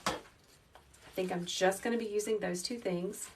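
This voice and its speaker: A woman in her thirties talks through a live craft tutorial in a calm, soft, teacherly voice, chatting with her viewers. She stands in a small indoor workroom, a little back from the phone mic. A faint background noise runs under her voice. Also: medium pitch, normal speed, far from the mic. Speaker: wreath-making livestream host.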